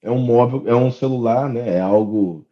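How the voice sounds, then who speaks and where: A man's voice: medium pitch, normal speed, highs cut off. A Brazilian man co-hosting a podcast, chatting casually. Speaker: podcast co-host. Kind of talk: casual chat.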